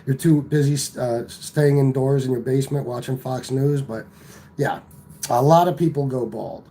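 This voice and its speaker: A man hosts a casual live stream from home and fires back at commenters with sarcasm and plenty of swearing. His voice is dark and mellow at a middle pitch. A faint hum sits behind him. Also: normal speed. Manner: combative, profane, sarcastic. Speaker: livestream host reading chat.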